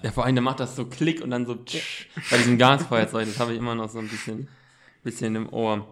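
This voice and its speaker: A man's voice: mid-range voice, flat delivery, quick pace, noisy background. A young man hosting a casual podcast chat. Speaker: podcast host.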